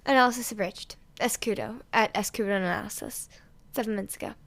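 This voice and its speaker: A woman narrating fan fiction as an amateur audiobook reader, in an expressive storytelling style. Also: medium pitch, fast.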